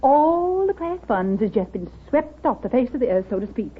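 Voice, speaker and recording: A woman's voice: on the high side, very animated, moderate pace, husky. An actress playing a pious, touchy, gossipy small-town woman in an old radio drama, with a prim, rustic American manner of speech. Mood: gossipy, excited. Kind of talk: 1930s radio drama acting. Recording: muffled, scratchy 1930s radio broadcast.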